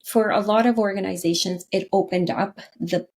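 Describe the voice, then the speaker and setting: A woman's voice: mid-range voice, fairly even intonation, normal speed, darkish tone, a little hiss or hum. A Canadian woman, a guest in an interview, talking earnestly and often checking in with "right?". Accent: Canadian English. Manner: earnest, frequent "right?" tags and restarts. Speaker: podcast guest, leadership consultant. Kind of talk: interview conversation.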